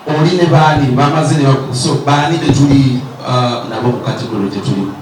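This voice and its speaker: A man interviewing a musician in Luganda, asking lively questions between laughs. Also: mid-range voice, fairly quick. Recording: distant mic, echoey noisy room.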